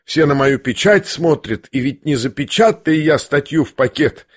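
A man voicing a feverish, hysterical, defiant sick man in an excitable, theatrical audiobook reading. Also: on the high side, brisk, slightly rough, pitched above the performer's own voice. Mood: hysterical, mocking. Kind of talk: dramatised audiobook character voice.

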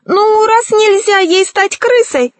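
Storybook henchman: gleefully cruel, mocking male villain, played by a woman, very high register. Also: slow pace, no room sound. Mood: breezy. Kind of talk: children's storybook reading.

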